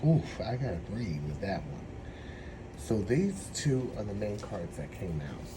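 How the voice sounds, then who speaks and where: A man with a hoarse, troubled throat giving a meandering, reflective spiritual tarot reading. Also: on the low side, big pitch swings, background noise. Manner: meandering, reflective, slow-spoken. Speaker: tarot reader.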